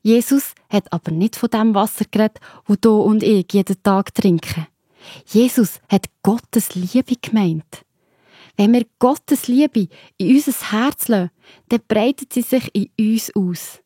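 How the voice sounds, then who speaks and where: A woman's voice: medium pitch, unhurried, warm tone, slightly rough, no room sound, clean studio sound. A woman with a Swiss German accent narrating a scripted story for children, explaining simply and addressing the listener directly.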